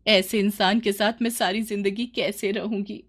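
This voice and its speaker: A woman's voice: mid-range voice, steady tone, normal speed, close to an everyday voice. A woman playing a hardworking, fed-up young village wife in a cartoon moral story, speaking in a reproachful, plaintive, emphatic way. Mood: exasperated. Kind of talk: animated moral story dialogue.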